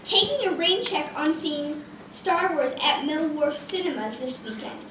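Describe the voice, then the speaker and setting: A woman's voice: sits high, animated, measured pace. A woman playing a proud, hard-working schoolgirl character in a community puppet show. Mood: exasperated. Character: diligent, proud girl student puppet who loves to twirl. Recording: echoey room, distant mic, clear noise.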